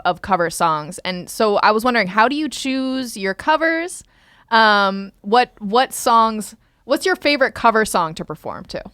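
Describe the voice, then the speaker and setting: Woman radio co-host, smooth clear voice, dry sound, hesitant. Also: medium pitch, fairly bright tone, no room sound. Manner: hesitant, many "um"s. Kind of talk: interview questions.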